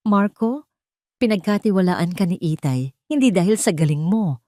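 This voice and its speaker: A woman's voice: lowish voice, animated, normal speed, mellow, no room sound, clean studio sound, natural voice. A woman voicing a wronged wife and heiress who speaks firmly and formally in an audio drama. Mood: cold accusing. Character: wronged young wife and heiress, firm and resolute.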